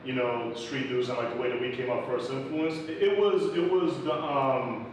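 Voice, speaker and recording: A man's voice: medium pitch, fairly even intonation, moderate pace. Slangy New guy in an echoey room. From New York, the Bronx. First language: Spanish. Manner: loose and rambling, many fillers and street slang. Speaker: entrepreneur guest. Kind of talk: casual interview talk. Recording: echoey room, far from mic, noisy.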